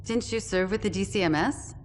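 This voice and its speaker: A woman's voice: medium pitch, brisk, lightly acted. Composed female officer in a reverberant hall actress. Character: composed female mercenary officer briefing comrades. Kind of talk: dramatic video game acting. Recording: reverberant hall, faint noise.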